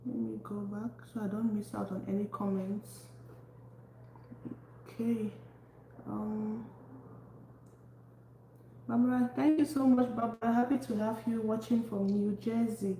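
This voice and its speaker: Woman, cooking stream host, mellow gravelly voice, greets every viewer by name. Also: a little high, steady tone, a little slow, long pauses. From Cameroon. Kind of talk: casual live-stream chat while cooking. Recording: echoey room, faint background noise.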